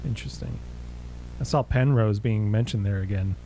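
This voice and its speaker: A man hosting a reaction video, giving casual commentary with muttered asides, quick exclamations and chuckles. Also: fairly low pitch, background noise.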